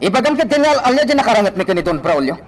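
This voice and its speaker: A man with an Ilocano accent acting a gruff schemer in a radio drama. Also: high-pitched, sing-song, very fast, distant mic, a little hiss or hum. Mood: gruff, urgent.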